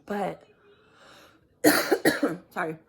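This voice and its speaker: Woman w a raspy just-woke-up voice, gravelly, rambly tarot chat. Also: fairly low pitch, lively intonation, very slow. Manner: chatty, rambling, apologetic. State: raspy morning voice. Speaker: tarot reader. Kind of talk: casual vlog talk.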